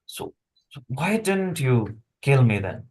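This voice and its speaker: A man acts out a weary assassin in a script read. His voice sits at an ordinary male pitch. He talks conversationally and haltingly, staying wry under threat. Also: sing-song, darkish tone. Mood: wry, needling. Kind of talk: screenplay table read acting.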